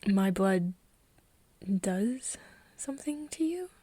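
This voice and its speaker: A woman playing a hesitant, caring young human friend in a soft ASMR roleplay, breathy and often dropping into a whisper, speaking haltingly. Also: mid-range voice, lively intonation, no echo. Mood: tentative, curious. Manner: halting, hesitant, with nervous giggles. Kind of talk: soft ASMR roleplay acting addressed to the listener.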